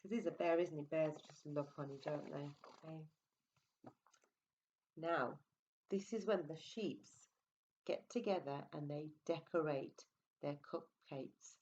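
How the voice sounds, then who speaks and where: A woman storyteller reads to preschool children in a lowish voice. She takes her time, expressive and coaxing, adding chatty asides and tag questions. The recording is slightly dull. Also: takes it slowly, long pauses, slight background noise. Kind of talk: picture-book read-aloud with asides to young listeners.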